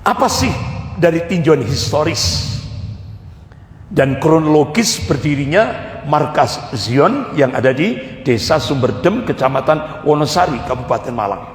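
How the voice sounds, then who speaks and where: A man's voice: a little high, expressive, measured pace. A man preaching to a congregation, emphatic and repetitive, asking rhetorical questions. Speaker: Islamic preacher. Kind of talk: religious lecture to a congregation. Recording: echoey hall with long reverb.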